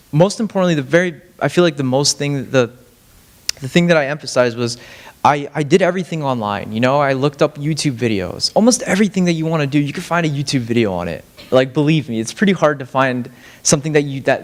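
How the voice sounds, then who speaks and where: A young man giving a casual, rambling talk on stage as a student speaker, self-deprecating and full of fillers and restarts. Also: medium pitch. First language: Farsi. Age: young adult. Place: lecture stage.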